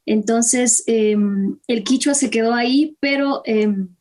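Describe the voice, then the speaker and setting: A woman's voice: fairly high pitch, takes it slowly, a little mellow. A woman from Chimborazo, Ecuador, whose first language was Kichwa, answering as a guest singer hesitantly with many fillers. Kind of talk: interview answer.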